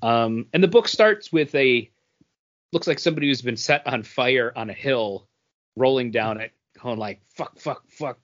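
A man's voice: mid-range voice, normal speed, muffled recording. A man co-hosting a podcast, chatting casually and bluntly about what he has read, with some casual swearing. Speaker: podcast co-host reviewing comics. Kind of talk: casual chat.

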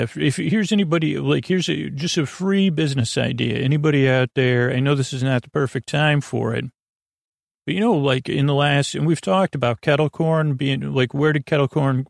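A man hosting a sleep podcast in a casual, meandering monologue, halting and repeating himself as he drifts into tangents. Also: mid-range voice, creaky, dry recording, studio quality. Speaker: podcast host.

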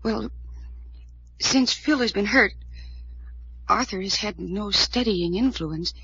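A troubled young heiress in an old radio play. She is earnest and hesitant, with a slightly husky voice. The muffled vintage recording dulls her words. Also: mid-range voice, slow, sharp tone, heavily acted. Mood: worried, sad. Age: young adult. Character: troubled, anxious twenty-four-year-old heiress, earnest and resigned. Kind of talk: 1940s radio drama acting.

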